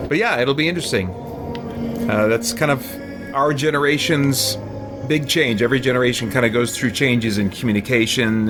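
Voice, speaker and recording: Thoughtful male game streamer with music behind. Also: mid-range voice. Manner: hesitant, thoughtful, rambling. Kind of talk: casual livestream chat and gameplay commentary.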